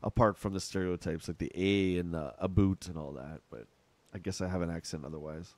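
A man's voice: sits low, fairly even intonation, fairly quick, dry recording. A middle-aged man with a Canadian accent, chatting casually with his chat while playing a game on a live stream at home. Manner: rambling, chatty, answers chat.

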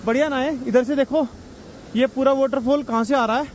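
A man's voice: extremely high pitch, fairly even intonation, brisk, darkish tone. A man vlogging outdoors by a waterfall in a chatty, joking way, calling his viewers brother. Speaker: travel vlogger. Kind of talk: casual vlog narration. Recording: noisy outdoor recording.

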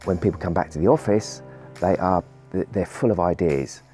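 An older man giving an earnest, measured interview soundbite as a conference attendee, with music underneath. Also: deep voice, dark tone.

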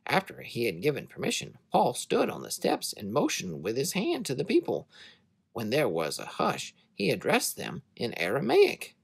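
A narrator reading scripture to children as a dramatic story, in an expressive, measured way. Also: medium pitch, gravelly, breathy edge, dry recording.

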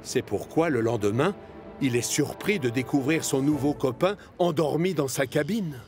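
A man narrates a children's cartoon in the present tense, as a scripted storyteller. His voice sits at a middle pitch, and music plays clearly behind him. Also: normal speed.

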